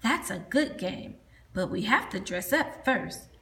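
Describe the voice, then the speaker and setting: A woman voicing an eager young male swamp monster who sometimes speaks in a hushed voice. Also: mid-range voice, lively intonation, measured pace.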